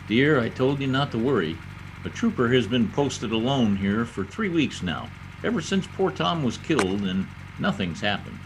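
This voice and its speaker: A man's voice: mid-range voice, very animated, normal speed, noisy background. A man playing an earnest, protective state trooper in an old time radio drama, dramatic and reassuring, recorded over a video call.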